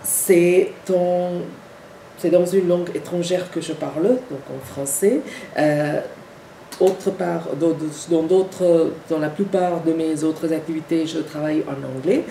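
A low-pitched woman, her voice gravelly and dark, lecturing in a halting way full of restarts. She is recorded from far off in an echoey university seminar room. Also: steady tone, a little hiss or hum. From Sri Lanka. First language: Sinhala. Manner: halting, with many restarts and repeated words. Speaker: guest lecturer. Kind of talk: academic lecture. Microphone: far camera.

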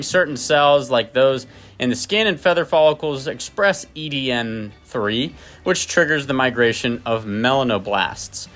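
A man's voice: mid-range voice, fairly bright tone. A man giving a scripted, lightly playful voice-over narration.

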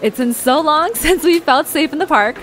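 A woman's voice: sits high, lively intonation, normal speed, a bit bright. A woman playing an upbeat, wry young woman in an audio drama. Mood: excited.

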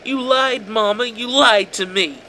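A man voicing a deranged young male killer who cut himself a permanent smile, talking in a shrill, singsong, unhinged way. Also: animated, moderate pace, gravelly, fairly bright tone, slight background noise, full character voice. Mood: angry.

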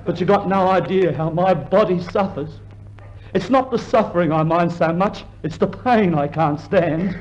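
A man's voice: high voice, normal speed, darkish tone, lightly acted. An actor playing a gloomy old hypochondriac delivery man, complaining dolefully with an Australian accent in a 1950s radio comedy. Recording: muffled, faintly noisy 1950s radio recording.

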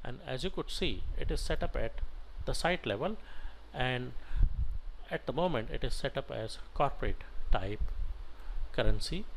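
A man teaching software as an instructor, talking through an on-screen tutorial step by step in halting, instructional phrases. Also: medium pitch, expressive, vocal fry, rough recording. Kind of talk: screen-share tutorial narration, unscripted step-by-step. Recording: noticeable background noise.

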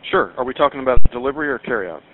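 A man's voice: medium pitch, animated, racing. A man working the phone at a pizza shop, giving brief customer service replies over a dull line. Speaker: pizza shop employee taking calls.

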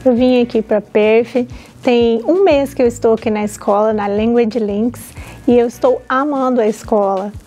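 Female student testimonial, Minas Gerais accent, soft mellow tone, on the high side. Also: moderate pace, faint music behind. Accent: Brazilian, Minas Gerais. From Belo Horizonte, Minas Gerais, Brazil. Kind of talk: testimonial to camera. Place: empty classroom.

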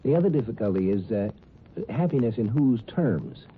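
A man's voice: medium pitch, animated, moderate pace. A man hosting a 1950s radio show, explaining in a conversational commentary style. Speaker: host. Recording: tinny 1950s radio, faint noise.

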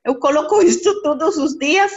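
A middle-aged woman with a Costa Rican Spanish accent, a language student chatting haltingly as she searches for words on a video call. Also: sits high. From Costa Rica. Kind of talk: casual lesson conversation. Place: indoor room, video call. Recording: dull lo-fi video-call audio.

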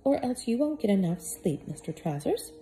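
A woman voicing a fussy, falsely cheerful middle-aged caretaker in a storybook read-aloud, with a fluttery, high manner. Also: big pitch swings, normal speed, slight background noise, close to an everyday voice. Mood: forced cheer. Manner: bright, fluttery, forced cheer.

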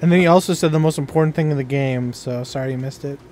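A man's voice: medium pitch, fast talker, darkish tone, slight background noise. A man talking casually with friends while playing a video game. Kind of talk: casual gameplay chat.

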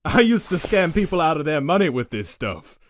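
A man's voice: fairly high pitch, sing-song, a little fast, dry recording. A man voicing a suave, condescending dice-headed cartoon casino manager who explains with a teasing drawl. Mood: suave, lecturing. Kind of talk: animated comic dub acting.

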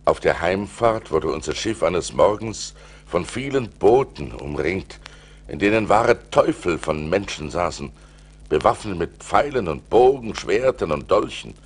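An actor playing a seafarer who recounts perilous adventures theatrically and deliberately, in an audio play transferred from vinyl. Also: male voice, sits low, animated, husky, exaggerated voice. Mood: measured, recounting. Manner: theatrical, deliberate, swelling with suspense. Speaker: narrator. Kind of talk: dramatic storytelling in an audio play.

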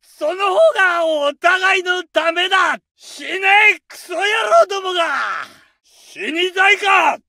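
An actor playing a young, aggressive Japanese soldier who shouts taunts and orders in a game. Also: male voice, extremely high pitch, slow, no room sound, heavily acted. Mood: taunting. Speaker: soldier voice lines. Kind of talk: barked game voice lines.

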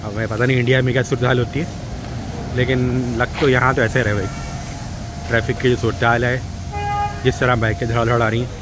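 A man's voice: mid-range voice, level tone, brisk, long pauses. A man with a Pakistani Urdu accent, chatting in a rambling, casual way as he walks and films a travel vlog. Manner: chatty, rambling, with many fillers. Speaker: travel vlogger. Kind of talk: casual walking vlog commentary. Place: busy city street at night, outdoors. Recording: loud street traffic noise. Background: heavy motorbike and traffic noise.

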